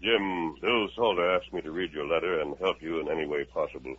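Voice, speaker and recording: Male actor as a commanding masked Western hero, measured and firm; old tinny radio play. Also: sits low, fairly even intonation, a little hiss or hum, lightly acted. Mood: steady. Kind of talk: 1950s radio drama acting.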